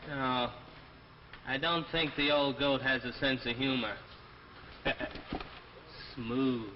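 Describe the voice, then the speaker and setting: An actor playing a cocky, greedy, hard-drinking schemer with brash, slangy talk in a 1950s television drama. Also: male voice, mid-range voice, steady tone, slow pace, a little hiss or hum, natural voice. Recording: dull vintage 1950s broadcast, reverberant, loud music.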